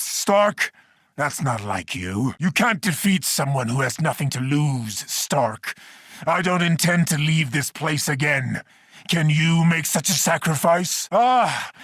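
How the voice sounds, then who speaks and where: An actor playing a bitter, righteous, menacing mutated mastermind villain in a video game, with grandiose, theatrical delivery. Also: male voice, fairly high pitch, fairly bright tone, no room sound, heavily acted.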